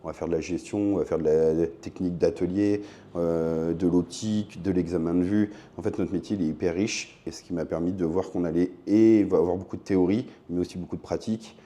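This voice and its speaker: A man with a deep, extremely dark and relaxed voice. He is an interview guest from France, explaining his work as a shop owner in a casual, conversational way. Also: fairly quick. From Le Mans, France.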